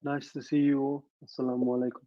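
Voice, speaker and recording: A male filmmaker answering interview questions in a relaxed, conversational way, often searching for words. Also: medium pitch, quick pace, no echo, lo-fi sound. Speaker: filmmaker guest.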